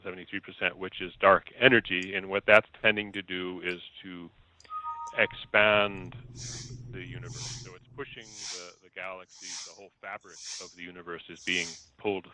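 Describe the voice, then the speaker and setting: A man speaking as a physicist, giving an informal scientific explanation in a measured, didactic way, restating himself as he goes. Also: low voice, flat delivery, pushed and bright, rough recording. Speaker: physicist, laboratory researcher.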